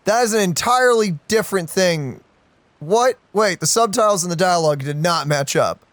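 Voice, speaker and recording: A woman's voice: on the low side, expressive, moderate pace, dry recording. A woman streaming a game playthrough, reacting casually in a slangy, emotive way. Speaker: let's play streamer.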